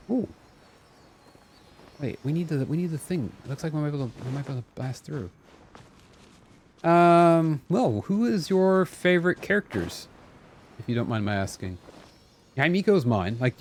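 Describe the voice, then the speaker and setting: Animated man streaming a game. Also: on the high side, moderate pace, pauses often, slight background noise. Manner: playful, repeats phrases while thinking aloud. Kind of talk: casual live gameplay chat.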